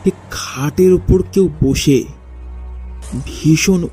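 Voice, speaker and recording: A man narrating a first-person ghost story in a dramatic, suspenseful way with deliberate pauses. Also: on the high side, mellow. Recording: faint music behind the voice. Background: faint background music.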